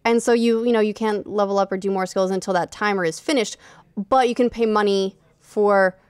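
A woman's voice: medium pitch, fairly even intonation, a little fast, clear, resonant tone, no room sound. A woman in her thirties co-hosting a podcast, talking casually into a close studio microphone. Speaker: podcast co-host. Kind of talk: casual panel discussion. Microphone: close studio microphone on desk.

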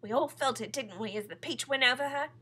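Woman as a loud, boastful, gleeful centipede, high and forceful. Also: measured pace, husky, exaggerated voice. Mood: excited, gloating. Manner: exuberant, shouting, theatrical. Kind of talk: children's storybook character reading.

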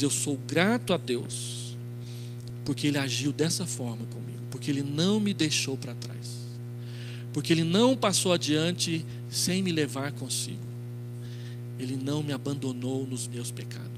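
A middle-aged man with a Brazilian accent preaching an expository sermon into a handheld microphone, telling stories with quoted dialogue and rhetorical questions. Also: fairly high pitch. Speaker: pastor. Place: church stage, indoors. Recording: clearly noisy with mains hum.

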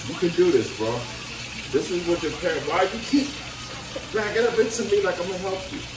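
A man's voice: high-pitched, animated, brisk. A middle-aged man casually coaching someone through cooking, with slangy, teasing talk. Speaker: uncle teaching his nephew to cook. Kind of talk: casual instructional home cooking video. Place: home kitchen, indoors. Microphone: camera set a few feet away. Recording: roomy, distant mic, loud sizzling noise. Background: frying sizzle, running water.

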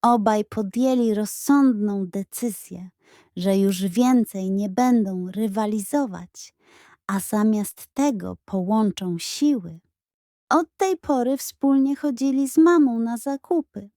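Woman telling a kids bedtime story, takes her time, soft dark tone, highish voice, really dry sound. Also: slow pace, no echo. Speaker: audiobook narrator. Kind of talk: scripted children's bedtime story narration.

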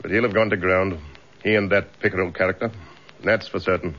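Stern, low-voiced British police superintendent. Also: male voice, steady tone, a little fast, fairly bright tone. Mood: sardonic. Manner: stern, sardonic, curt. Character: gruff, suspicious, by-the-book police superintendent, older superior. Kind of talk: British radio drama acting. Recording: dull, lo-fi radio drama recording.

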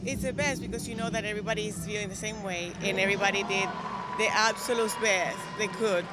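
A woman's voice: mid-range voice, fast, heavy background noise. A young woman from Colombia, a native Spanish speaker with a Colombian accent, chatting casually and upbeat in an interview. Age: young adult. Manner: upbeat, energetic. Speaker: bikini competitor interviewee. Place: large exhibition hall, indoors. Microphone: handheld interview microphone. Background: music.

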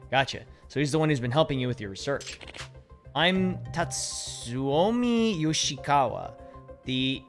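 A man with a hoarse, worn voice chats casually while he plays, reading game dialogue aloud. His pitch sits in the middle range. Faint game music plays behind him. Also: sing-song, a little slow. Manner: reads game subtitles aloud, swears casually. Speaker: streamer. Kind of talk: casual gameplay commentary. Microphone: headset or desk mic, webcam.

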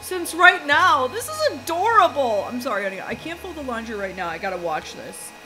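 Lazy, gushing man-child dad, played by a woman; high-voiced, animated, whiny, for game roleplay. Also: fairly quick, music in the background, poor audio.

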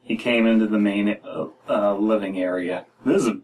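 An American man in his thirties hosts a casual story-reading podcast, reading haltingly and breaking off for joking asides. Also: fairly low pitch, a little mellow. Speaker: podcast host reading stories aloud. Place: small room at home, indoors. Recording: distant mic in a room.

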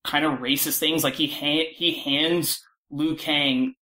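A man talking casually as a panel guest, halting and peppering his speech with filler words. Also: fairly high pitch, fairly even intonation, sharp tone, low-quality recording. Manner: halting, filler-heavy, frequent "like". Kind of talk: casual discussion.

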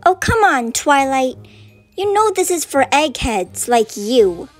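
A woman's voice: sits high, very animated, slow pace, full character voice. A woman voicing a brash, sporty young female pegasus in a cartoon comic dub, over music. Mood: irritated. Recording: music under the voice.

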